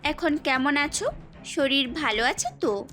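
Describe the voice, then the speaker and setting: A woman's voice: sits high, unhurried. A woman playing a caring, insistent university teacher in an audio story, with music underneath. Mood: concerned. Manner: concerned, gently bossy. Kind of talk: Bengali audio story acting.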